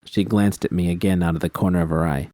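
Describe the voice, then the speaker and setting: A man's voice: deep voice, fairly even intonation, fast, warm tone, no echo. A man narrating scripted first-person fiction as an audiobook reader.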